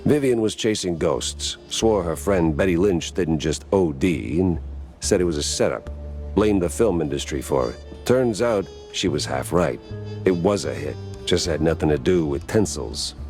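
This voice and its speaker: A man's voice: low-pitched, animated, a little slow, a little mellow, soft background music. A man playing a world-weary, wisecracking mouse private detective in a noir video game, with wry, slangy tough-guy delivery. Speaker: detective protagonist and narrator. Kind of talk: 1930s noir detective narration.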